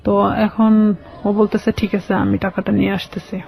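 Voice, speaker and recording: Bangladeshi woman guest telling her life story, kinda halting, repeats herself, bit muffled lo-fi radio sound. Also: mid-range voice, little pitch movement, vocal fry. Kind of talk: personal storytelling in an interview. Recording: slightly dull, lo-fi radio sound.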